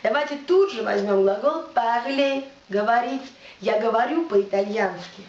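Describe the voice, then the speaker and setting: A woman's voice: on the high side, a little mellow. Patient woman teaching French, distant and roomy. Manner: patient, repeats words slowly for the listener. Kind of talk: video language lesson. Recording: roomy, distant, dull with faint hiss.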